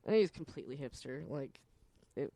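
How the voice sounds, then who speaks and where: A woman, a podcast co-host, chatting and telling personal stories in an animated, bantering way with slang and some swearing. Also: rumbling low, a little fast, vocal fry, no echo. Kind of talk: casual chat and personal storytelling.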